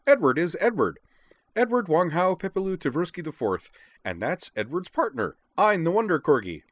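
Eccentric teen-style hacker girl, played by male reader: high, bouncy, blunt, grandiose storybook character. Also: big pitch swings, moderate pace, a bit bright, no room sound. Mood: blunt, curious. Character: eccentric exuberant young hacker girl, speaks in third person.

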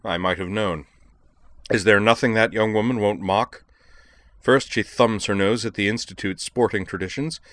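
Man acting a stern, cutting, low-voiced professor. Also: fairly bright tone, dry recording. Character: cold, harsh, indignant male literature professor, scornful and commanding.

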